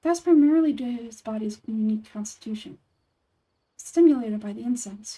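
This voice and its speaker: A woman's voice: fairly high pitch, dark tone, low-quality recording. A woman voicing an earnest, scholarly young woman researcher in game dialogue, speaking formally and articulately. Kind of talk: video game dialogue read-through.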